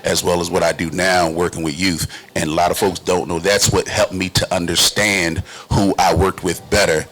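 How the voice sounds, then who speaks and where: A man's voice: deep voice, a bit bright, slightly rough, poor audio. A man with a conversational interviewing style, talking as a panel interviewer. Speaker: panel interviewer, youth worker.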